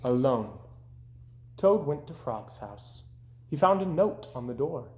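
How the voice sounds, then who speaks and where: A man reading a children's story aloud as narrator, expressive, gentle and deliberate. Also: mid-range voice, slight background noise. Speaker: storybook reader.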